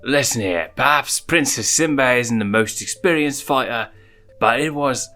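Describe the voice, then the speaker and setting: A man's voice: mid-range voice, expressive, moderate pace, bright, forceful tone, faint music behind, pitched above the performer's own voice. A man voicing a sulky, hot-tempered young male lion cub, indignant and mocking, in a storybook reading. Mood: defiant.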